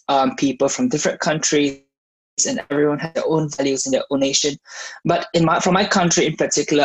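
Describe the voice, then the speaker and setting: A young man from Malaysia, a law student, answering interview questions in a thoughtful, measured way. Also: fairly high pitch, husky, poor audio.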